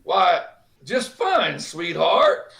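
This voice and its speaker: Man acting a creaky, high-pitched blustering boss. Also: big pitch swings, a bit bright, far from the mic, exaggerated voice. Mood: nervous. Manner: halting, sputtering, old-fashioned ungrammatical speech. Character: flustered, blustering male boss, henpecked husband. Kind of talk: radio comedy acting. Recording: roomy, echoing sound.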